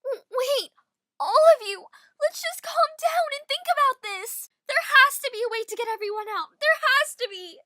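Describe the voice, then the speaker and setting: Very high, sharp, earnest young girl. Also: lively intonation, normal speed, no echo, clean studio sound, exaggerated voice. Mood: desperate, pleading. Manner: pleading, stammering, insistent. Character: earnest, emotional young girl pleading to save everyone. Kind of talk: fan dub game acting.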